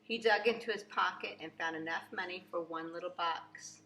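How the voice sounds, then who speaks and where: Woman, preschool read-aloud, bright voice, theatrical emphasis, echoey room, faint background noise. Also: fairly low pitch, moderate pace. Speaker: preschool teacher reading aloud. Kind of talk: expressive children's storybook read-aloud.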